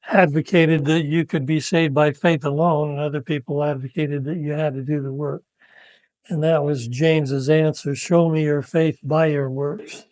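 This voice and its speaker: Man, mellow dark voice, very slow, hesitant, dry close sound. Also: on the high side, dry recording, poor audio. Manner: hesitant, measured, many pauses and fillers. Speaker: devotional panel speaker. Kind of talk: slow, halting reflective answers.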